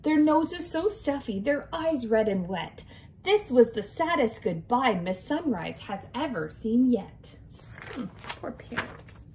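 Animated, high-voiced young woman reading to children. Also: slow, slight background noise, low-quality recording. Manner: expressive, sing-song, addressing young children. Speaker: kindergarten teacher. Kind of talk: children's picture book read-aloud. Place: small indoor room with decorated walls.

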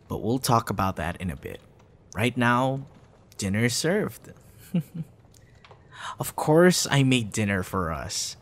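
A young man playing a tender, hesitant suitor in an intimate ASMR boyfriend roleplay, speaking softly and haltingly. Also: on the low side, heavily acted. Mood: nervous, affectionate. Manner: soft, hesitant, with sighs and chuckles. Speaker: audio roleplay voice actor.

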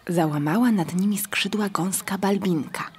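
A woman reading scripted narration for a children's story, with a husky, breathy voice. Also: mid-range voice, normal speed, a little hiss or hum.

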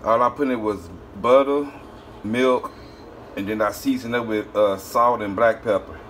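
A man's voice: on the low side, a little slow, slight background noise. A man from the South, chatting casually as he cooks in his home kitchen and talks straight to his viewers. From Southern United States. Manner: relaxed, chatty, addresses viewers as "y'all". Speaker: cooking channel host. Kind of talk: casual cooking vlog narration.

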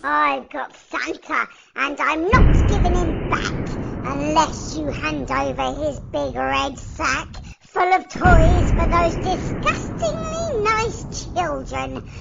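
A woman voicing a greedy, gloating storybook villain in a theatrical, menacing, drawn-out delivery. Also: high-pitched, lively intonation, gravelly, very noisy, voice pushed up, heavily acted. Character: dastardly, gloating, greedy villain writing a ransom note. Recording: dull sound under loud music.

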